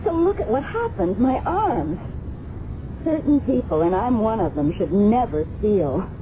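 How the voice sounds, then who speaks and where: An actress playing a candid, rueful working woman in a 1970s radio drama, expressive and confessional. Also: medium pitch, measured pace. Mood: guilty, rueful. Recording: dull, noisy old radio broadcast.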